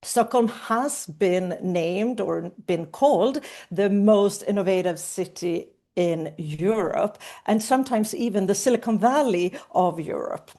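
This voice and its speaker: A woman hosting a webinar for prospective students. She walks through an agenda and presents in a clear, upbeat tone. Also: medium pitch, unhurried, hardly any pauses, dark tone. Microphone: video call.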